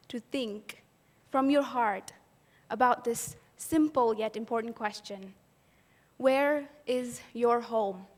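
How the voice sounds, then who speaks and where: A woman from Pakistan giving an earnest personal storytelling talk at an event, posing rhetorical questions to the audience. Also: on the high side. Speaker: event speaker. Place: event hall. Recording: long hall reverb.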